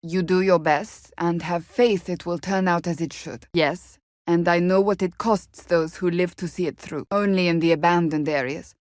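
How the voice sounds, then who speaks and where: A woman's voice: on the low side, fairly even intonation, normal speed, no room sound, clean studio sound, natural voice. An actress playing a stern, devout, guarded warrior woman in a video game, speaking in a formal, clipped and serious way. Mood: measured, dry. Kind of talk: scripted video game dialogue.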